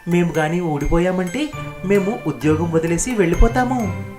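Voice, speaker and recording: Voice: medium pitch, moderate pace, over music, pitched above the performer's own voice, natural voice. A narrator voicing an earnest veteran male train driver who pleads insistently in a cartoon story. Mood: earnest pleading.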